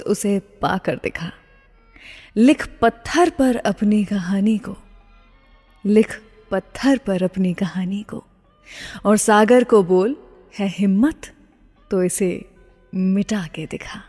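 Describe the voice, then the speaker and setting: Female radio presenter, medium pitch, scripted delivery, long reverberant tail, music underneath. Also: expressive, slow pace, long pauses, dark tone. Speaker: radio show host. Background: background music.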